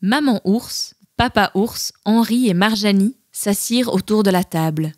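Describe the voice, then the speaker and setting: A woman's voice: medium pitch, a little slow, darkish tone. A woman narrating a children's storybook and hosting, with music behind her. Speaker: storybook narrator and channel host. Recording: music bed under the voice.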